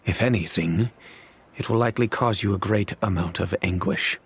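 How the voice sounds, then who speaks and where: A man's voice: low-pitched, fairly bright tone, slightly rough, full character voice. A man playing a dignified middle-aged father and family head in a video game, speaking formally and solemnly. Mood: grave warning. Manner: formal, grave, measured. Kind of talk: video game character acting. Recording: music behind the voice.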